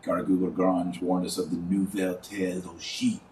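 A middle-aged man giving informal religious commentary as a podcast host, speaking emphatically with pauses and drawn-out key words. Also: deep voice, level tone, darkish tone. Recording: roomy echo, distant mic, faint noise.

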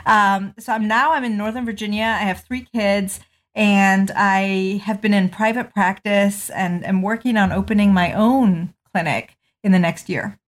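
A woman's voice: medium pitch, steady tone, measured pace. Articulate woman doctor, middle-aged. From Malawi. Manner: articulate, with frequent ums. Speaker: pediatrician guest. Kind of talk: interview answers.

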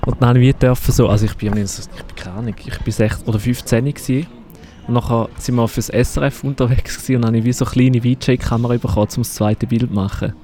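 Relaxed, warm-toned host. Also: male voice, medium pitch, fast talker. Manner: relaxed, hesitant with fillers. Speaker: podcast host. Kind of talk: casual interview.

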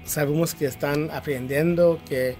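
American man speaking halting, higher Spanish. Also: fairly even intonation, a little mellow, faint music behind. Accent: American English. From Kansas, USA. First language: English. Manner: halting, searches for words, accepts prompts. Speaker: teachers' union representative. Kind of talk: interview answers in second-language Spanish.

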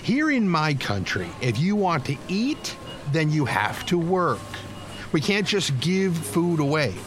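A man's voice: a little high, sing-song, measured pace, background noise. A man playing a dismissive, by-the-book supermarket manager in an audio drama, explaining things in a condescending way. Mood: condescending, firm. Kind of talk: full-cast audio drama acting.

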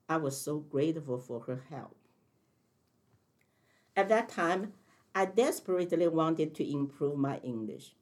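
A woman in her sixties with a Chinese accent speaks in a low voice. Her delivery is careful and measured, as if she is reading prepared answers. She is a veteran math teacher telling her own story. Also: darkish tone. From China. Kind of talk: interview answers, read from prepared text.

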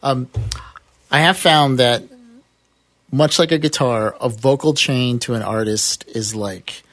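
A man moderating a panel, briskly steering the talk and handing off questions. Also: medium pitch, a bit bright.

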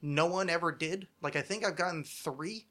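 A man's voice: a little high, moderate pace, fairly bright tone. A man hosting a casual comedy podcast, rambling along with sarcasm and plenty of swearing. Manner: sarcastic, rambling, foul-mouthed. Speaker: podcast host.

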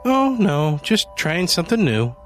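A man's voice: on the high side, huge pitch swings, takes it slowly, faint music behind, voice pushed up, heavily acted. A man voicing a grieving young woman who shrieks and pleads in a raised, strained voice. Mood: bright cheerful.

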